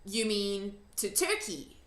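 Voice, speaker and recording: A woman voicing a curious, earnest little girl in a children's storybook, questioning and quick to be indignant. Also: mid-range voice, very animated, a bit bright, pitched above the performer's own voice. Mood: curious, uneasy. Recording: echoey room.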